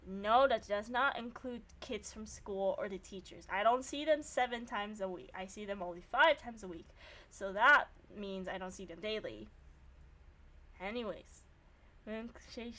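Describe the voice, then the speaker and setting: Young woman, fairly high voice, game streamer, casual, animated, self-deprecating, sweary, reads narration aloud. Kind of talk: casual gaming commentary mixed with reading narration aloud.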